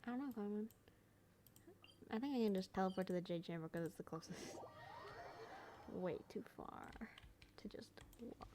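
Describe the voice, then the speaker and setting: A woman comments on her gameplay in an informal, slangy way, thinking aloud. Her voice has a middle pitch, with faint noise and game sound behind it. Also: normal speed, long pauses. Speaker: gaming video creator. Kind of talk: casual gameplay reaction commentary.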